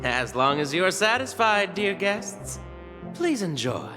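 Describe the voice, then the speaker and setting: A man's voice: sits high, big pitch swings, takes it slowly, heavily acted. A man playing a courteous, showy bartender in a video game, with polite, florid salesmanship. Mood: courteous, promotional. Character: courteous, showy male bartender serving guests. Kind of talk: video game character acting. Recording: long reverb, faint music behind.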